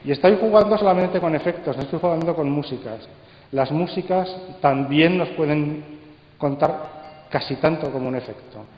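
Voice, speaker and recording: A man with an accent from Spain giving a live talk, speaking rhetorically phrase by phrase with pauses. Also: on the high side. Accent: Spanish, from Spain. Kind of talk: live presentation to an audience. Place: conference hall. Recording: dull sound, echoey hall with long reverb.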